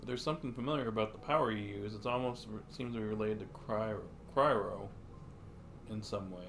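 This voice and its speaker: A man's voice: lowish voice, fairly quick, close to an everyday voice. A man playing an earnest young traveler hero in an anime game, with a questioning manner. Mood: earnest, wary. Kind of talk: anime game dialogue acting. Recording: clear background noise.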